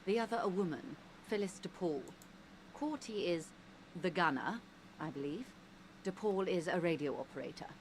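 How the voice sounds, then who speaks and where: A woman voicing a composed middle-aged mediator who speaks calmly and precisely. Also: fairly low pitch, a little hiss or hum, natural voice. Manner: calm, precise, measured.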